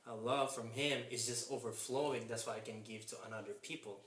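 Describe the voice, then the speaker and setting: A man's voice: mid-range voice, fairly even intonation, a bit bright. Earnest young man, echoey room. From Japan. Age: 20s. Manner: earnest, halting, strings thoughts with "and then". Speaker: guest, fitness mentor. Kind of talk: casual podcast interview. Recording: echoey room with faint noise.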